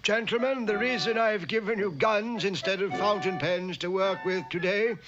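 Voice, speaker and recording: A man's voice: high voice, normal speed, lightly acted. A man voicing a stubborn, self-important bank president in a classic cartoon, formal and pompous. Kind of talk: classic cartoon character acting. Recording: dull old cartoon soundtrack, faint music.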